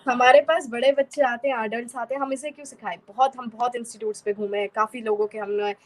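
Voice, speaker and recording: A woman's voice: on the high side, steady tone, fast talker. A young woman from Haryana, explaining fluently as an interview guest and mixing in many English words. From Haryana, India. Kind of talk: casual interview conversation.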